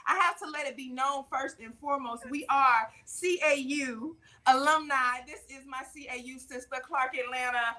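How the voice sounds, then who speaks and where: A woman talk show host with a high, bright voice. She talks straight to her audience in an emphatic, conversational way, often repeating phrases for weight. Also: normal speed, few pauses.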